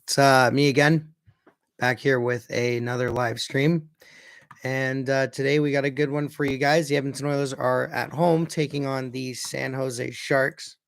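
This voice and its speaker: A hoarse man with a raspy voice chatting casually as a hockey fan livestreamer, often correcting himself as he previews a game. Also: medium pitch, level tone, measured pace, no echo, clean studio sound. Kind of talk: casual pregame preview chat.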